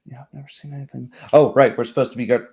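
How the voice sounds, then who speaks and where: A man's voice: mid-range voice, steady tone, rapid-fire, a little mellow, pitched above the performer's own voice, natural voice. A man voicing a gawking, easily distracted deputy in a tabletop game, muttering and trailing off. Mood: puzzled, distracted.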